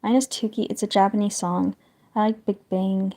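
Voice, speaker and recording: A woman chatting casually with her livestream viewers while she draws, meandering from topic to topic and often trailing off into quiet asides. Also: medium pitch, steady tone, moderate pace. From northern United States.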